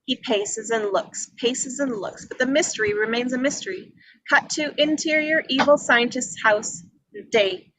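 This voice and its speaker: A woman's voice: high voice, a little slow, a bit bright, no room sound, poor audio. A woman reading out scripted scene descriptions and stage directions as a narrator. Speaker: reader of action descriptions.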